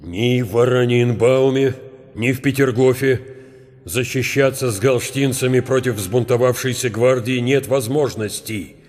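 An actor playing a resolute eighty-year-old field marshal, commanding and urgent, in a radio drama. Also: male voice, mid-range voice, a little slow, darkish tone, soft background music, lightly acted. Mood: resolute urging. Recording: long hall reverb.

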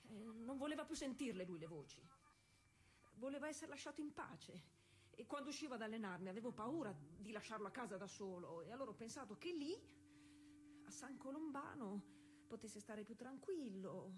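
A woman's voice: a little high, expressive, a little hiss or hum, natural voice. A woman playing a working-class 1920s cyclist, weary but stubborn, in an intimate, colloquial theatrical monologue. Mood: troubled, tender. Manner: intimate colloquial storytelling with dramatic pauses.

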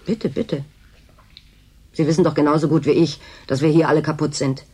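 An actress playing a blunt, disillusioned worker at a remote station in a German radio drama, curt and sardonic. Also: female voice, low voice, steady tone, moderate pace, darkish tone, husky. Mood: bitter, resigned.

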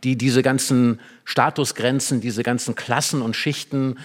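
A man with a mid-pitched voice talks as a literary expert in a conversation. He explains ideas step by step, offering illustrations and checking in with short tag questions. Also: fairly even intonation, unhurried.

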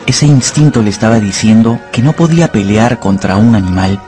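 A man's voice: lowish voice, animated, normal speed, mellow. A man narrating a dramatised story for radio from a script. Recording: music under the voice.

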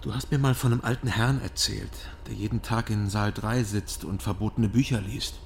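An actor playing a dry, guarded investigator in a radio crime drama, speaking tersely and understated. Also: male voice, lowish voice, fairly even intonation, moderate pace, creaky, a little hiss or hum. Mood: coaxing.

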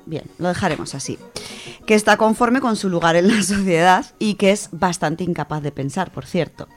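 A woman from co-hosting a radio show, chatting casually and reading a story, animated, with many fillers. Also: fairly low pitch, moderate pace, soft background music. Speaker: radio co-host presenting a literature section.

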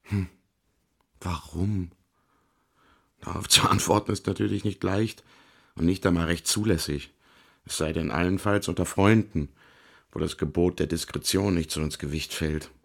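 An actor playing a troubled, self-justifying artist and ladies' man in a radio play, confiding in a halting, theatrical way. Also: deep voice, steady tone, vocal fry, slightly rough, exaggerated voice.

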